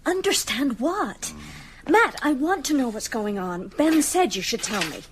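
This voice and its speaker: A woman's voice: sits high, big pitch swings, measured pace, a little hiss or hum. An actress playing a defiant, emotional young woman in a radio drama, earnest in manner. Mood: insistent. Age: young adult.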